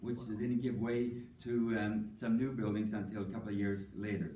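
An older man giving a prepared tribute speech at an academic conference, measured and dry-humored, with frequent ums. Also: lowish voice, flat delivery, dark tone, slight background noise. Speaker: panelist speaking at an academic tribute session. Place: conference hall. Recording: echoey hall, voice from a distance.

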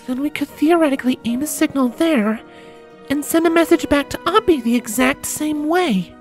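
Man voicing a high-pitched, brisk woman scientist. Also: faint music behind. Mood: dawning excitement. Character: sceptical, brisk female scientist in charge.

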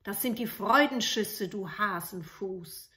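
A woman's voice: medium pitch, slow pace, a bit bright. A woman voicing a bossy eldest princess in a storybook tale, emphatically brushing worries aside. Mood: dismissive.

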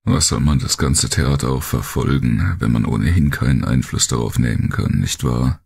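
Guy doing a weary cynical war survivor in an audiobook, super deep, husky, talks rough. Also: moderate pace, darkish tone, heavily acted. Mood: resigned. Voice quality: gravelly, husky. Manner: colloquial, coarse, cynical. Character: blunt, world-weary male war survivor recalling the collapse. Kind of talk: audiobook character monologue.

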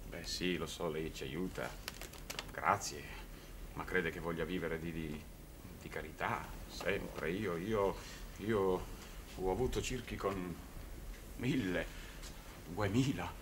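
An actor playing a proud, downtrodden middle-aged circus clown who stammers and repeats himself theatrically. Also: male voice, low voice, takes it slowly, pauses often. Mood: dejected. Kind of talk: 1960s Italian television drama acting. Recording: noisy old television soundtrack.

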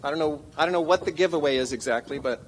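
A man in his sixties runs a town hall panel from a stand mic on a theater stage, chatty and joking with the panel. His voice sits a little high and carries a long hall reverb with a faint hum underneath. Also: fast talker. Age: 60s. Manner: joking, conversational. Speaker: moderator.

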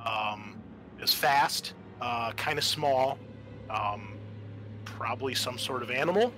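A man narrating a tabletop roleplaying game as game master, in a halting, conversational way. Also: medium pitch, expressive, pushed and bright. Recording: faint background noise.

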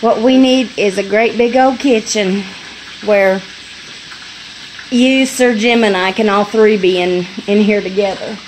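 A woman's voice: medium pitch, steady tone, measured pace, slight background noise, low-quality recording. A middle-aged woman, chatting folksily as she films on a phone in a small home kitchen. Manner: folksy, chatty, laughs easily. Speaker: homestead vlogger. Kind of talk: casual chat. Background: food frying and sizzling.

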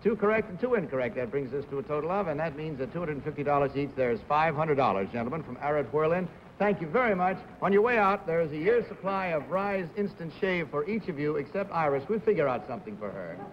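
A man hosting a 1950s television game show, genial and brisk, heard in muffled old broadcast audio. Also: fairly high pitch, animated, a little hiss or hum. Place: TV studio.

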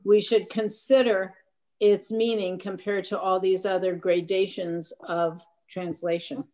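A woman's voice: mid-range voice, flat delivery, unhurried, dark tone, rough recording. A woman taking part in an online study discussion, thinking aloud and searching for the right word. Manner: thoughtful, searching for words. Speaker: study group participant. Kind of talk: class discussion over video call.

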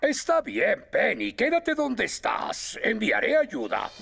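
A man's voice: very high-pitched, huge pitch swings, slow pace, gravelly, a bit bright, slightly rough, full character voice. A man voicing an older, bossy but kindly fire chief in a Latin American Spanish cartoon dub, theatrical and authoritative. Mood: commanding. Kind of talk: animated children's series dub.

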